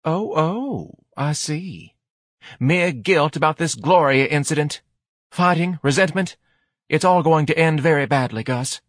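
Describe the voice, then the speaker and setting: A man's voice: fairly high pitch, unhurried, no echo, clean studio sound, pitched above the performer's own voice. A man voicing a self-righteous, melodramatic middle-aged wife in an audiobook, nagging and reproachful. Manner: indignant, reproachful, dramatic.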